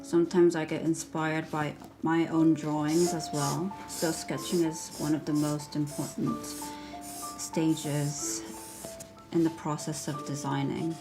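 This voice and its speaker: A young woman from South Korea, speaking softly and reflectively as a fashion designer in a documentary interview. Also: sits low, little pitch movement, takes it slowly, over music.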